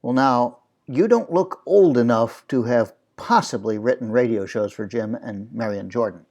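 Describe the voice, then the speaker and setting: A man's voice: medium pitch, normal speed, a little mellow. A man hosting a conversational interview as the interviewer.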